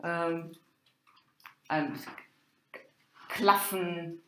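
Slow, halting German-accented woman lecturer. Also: lowish voice, fairly even intonation, pushed and bright, slight background noise. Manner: halting, hesitant delivery with many pauses. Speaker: university lecturer in medieval German literature. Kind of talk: informal academic lecture with quoted text. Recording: roomy, echoing sound.

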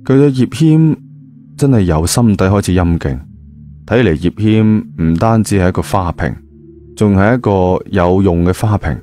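A deep-voiced man tells a story in colloquial Cantonese. His tone is mellow and dark, and his delivery is that of an audio drama narrator. Music plays clearly behind him. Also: animated, moderate pace. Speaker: audio drama narrator, also voicing the male lead. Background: background music.

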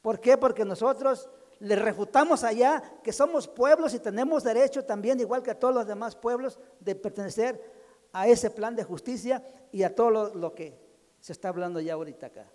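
High-voiced older man addressing a hall. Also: fairly quick, a little mellow. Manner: emphatic, repeats phrases, gestures with raised hand. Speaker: community speaker at a public consultation. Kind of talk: impassioned public address. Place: large indoor community hall. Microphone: handheld microphone. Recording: echoey hall over a PA.

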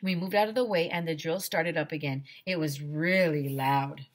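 A middle-aged woman reads a children's story as a teacher would, expressively. Her voice sits fairly low, picked up on a headset in a small room. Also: normal speed. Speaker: teacher reading aloud. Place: small decorated room indoors.

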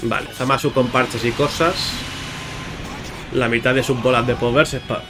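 A man's voice: mid-range voice, steady tone, normal speed. A man giving casual, chatty video game commentary, speaking informally and directly to his viewers with playful exclamations. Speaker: gaming YouTuber. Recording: music under the voice. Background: music.

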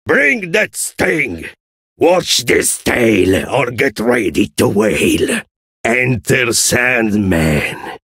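Gravelly, theatrical Italian-accented scorpion villain. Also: male voice, medium pitch, very animated, fairly bright tone, no echo, clean studio sound, heavily acted. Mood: sinister, gloating. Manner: theatrical, drawn-out, punning delivery. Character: manic, theatrical male scorpion villain, gloating showman conductor. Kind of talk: video game character voice lines.

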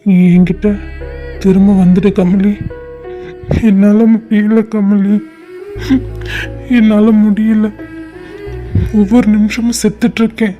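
A man's voice: sits high, level tone, normal speed, pauses often, warm tone, highs cut off, soft background music, natural voice. A young man playing a heartbroken lover, speaking with a Tamil accent, pleading and crying. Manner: pleading, tearful and despairing. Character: lovesick young man, grieving and desperate. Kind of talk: short-film drama acting.

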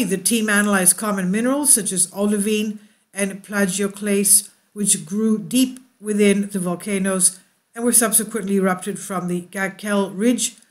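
A woman hosting a science news channel, reading an article aloud and breaking off into asides with fillers and self-corrections. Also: medium pitch. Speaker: YouTube news host.

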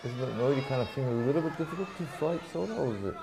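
A man co-commentating a kickboxing fight, chiming in with quick, agreeable remarks. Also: medium pitch, creaky, a crowd in the background. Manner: agreeable, lively interjections.

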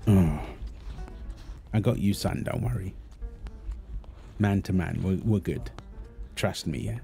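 A man playing a calm, teasing, reassuring young husband and father in a natural, intimate audio roleplay, talking gently to his partner and baby. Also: deep voice, slow pace, vocal fry, soft background music, heavily acted.

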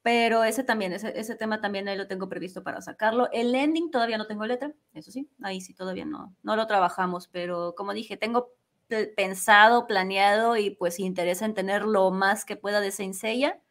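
A woman's voice: mid-range voice, steady tone, fairly quick. A woman, chatting casually and a bit ramblingly as an interviewed fandub singer. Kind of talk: casual live interview chat.

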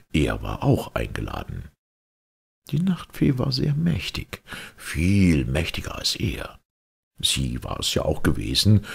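A man reading a children's fairy tale as an audiobook narrator, in a theatrical, expressive way. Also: extremely low pitch, vocal fry, husky. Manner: theatrical, dramatic storytelling.

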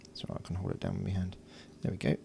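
A man casually talking through hands-on electronics work, unhurried and thinking aloud. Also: sits low, very animated, vocal fry, mellow, slight background noise. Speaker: host of an electronics build video. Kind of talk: casual hands-on tutorial narration. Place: indoors at a workbench.

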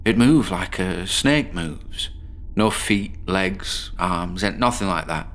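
An actor playing a terrified, paranoid male patient in a horror audio drama, speaking haltingly and sliding into panic. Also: low-pitched, fairly bright tone. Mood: haunted. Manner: slow halting speech breaking into panic.

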